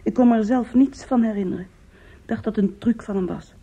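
An actress playing an anguished young woman in a Dutch radio play, confiding in her lover with halting, intimate emotion. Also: fairly high pitch, warm tone, husky. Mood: confiding, bitter. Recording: dull, muffled old radio recording.

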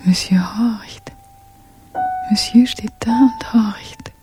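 An actress in a German radio play playing a secretive, watchful young woman who whispers breathy, hushed observations in short phrases. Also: on the high side, steady tone, slow, music in the background. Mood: furtive, tense.

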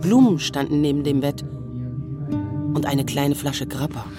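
A woman around forty, steady and dry, narrating and speaking in a radio drama. Her voice sits very low for a woman and stays matter-of-fact. Loud music runs behind her. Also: unhurried. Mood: subdued, matter-of-fact. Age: 40s.

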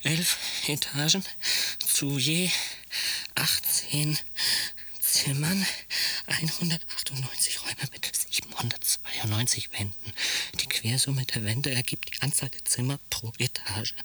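A tense, husky male psychiatric patient in a radio play. He talks slowly in broken, repetitive fragments, cryptic and detached, often slipping toward a whisper. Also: mid-range voice, steady tone, sharp tone, no room sound, exaggerated voice. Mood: obsessive, detached. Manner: halting, fragmented phrasing with obsessive repetition. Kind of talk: radio drama monologue.

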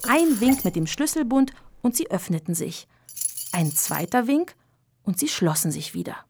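A woman's voice: a little high, very animated, normal speed, very noisy. A woman narrator reading a scripted children's story in a radio play.

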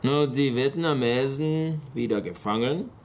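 An actor playing a laconic, cynical man in a German radio drama, speaking cryptically in halting, clipped fragments with a gravelly voice. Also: medium pitch, a little hiss or hum, close to an everyday voice.

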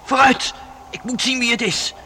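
A man playing an excitable, easily startled boy adventurer in a Dutch radio play, breathless and prone to stammering. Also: very high voice, moderate pace, full character voice. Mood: excited chase. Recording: noisy old radio drama recording.

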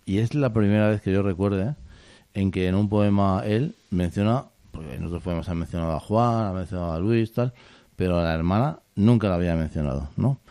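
A man with a deep, smooth, resonant voice hosts a relaxed literature program, explaining poems and reading them out in a steady manner. His tone is mellow and the recording is close and dry. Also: fairly quick, dry recording. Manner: steady, explanatory, tags lines with "¿no?". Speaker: radio host. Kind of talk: casual radio chat about literature.

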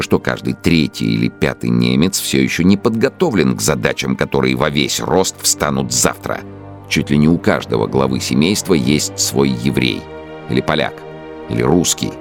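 An actor in a radio play playing a fanatical, megalomaniac male dictator, brooding and declaiming in a grandiose, emphatic monologue. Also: exaggerated voice. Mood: cold, scornful. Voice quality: very deep, gravelly.

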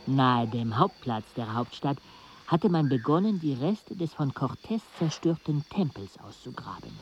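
A man in a radio play acting a weary travel companion who narrates in a measured, reflective way. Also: mid-range voice, animated, muffled recording, a little hiss or hum, natural voice. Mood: reflective narration.

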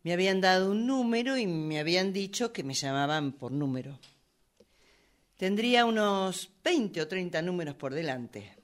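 A woman's voice: on the low side, natural voice. A woman playing an indignant, hot-tempered woman in a radio drama, agitated and repeating words in bursts as she makes excuses. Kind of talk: radio drama workshop acting.

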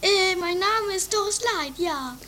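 Extremely high female figure, bright sharp voice, scatterbrained, stammering, bluffing, dramatic, trashy radio comedy, slightly noisy recording. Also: expressive, unhurried, exaggerated voice. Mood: flustered bluffing. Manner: stammering, flustered, loses her train of thought. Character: Doris, scatterbrained, shrill, bluffing dramatic sister. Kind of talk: improvised 1980s trash comedy radio play.

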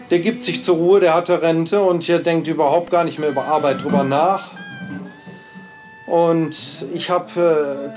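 An older man with a slightly high voice talks informally in an echoey room, with music underneath. He rambles haltingly, repeating himself and restarting his sentences as he explains things. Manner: halting, repetitive, stammering restarts. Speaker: artist interviewee showing own works.

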